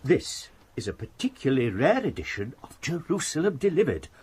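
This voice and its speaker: A man's voice: medium pitch, sing-song, fairly quick, a little mellow, slight background noise, natural voice. A man playing a deferential, eager Victorian antiquarian bookseller in a radio drama. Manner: obliging, salesmanlike.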